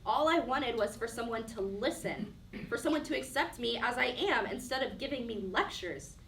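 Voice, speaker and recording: A woman's voice: on the high side, animated, normal speed, a bit bright. Actress as a defensive young woman in echoey room. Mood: wounded, pleading. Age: young adult. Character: defiant, wounded 23-year-old woman, defensive and yearning. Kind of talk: one-act play dialogue. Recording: roomy echo, distant mic, faint noise.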